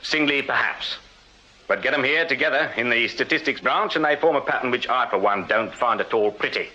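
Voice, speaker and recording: An actor playing a shrewd Victorian detective sergeant with an English accent, dry, clipped and authoritative in a radio drama. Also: male voice, mid-range voice, fairly quick, bright, forceful tone. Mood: brisk, commanding. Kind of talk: British period radio drama acting. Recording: slightly dull, hissy radio-drama recording.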